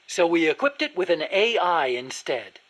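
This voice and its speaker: An earnest American weapons engineer from a video game, speaks in a high, sharp-edged voice. He is nerdy and precise, eager to explain every technical detail. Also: big pitch swings, moderate pace, rough recording. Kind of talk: video game dialogue acting.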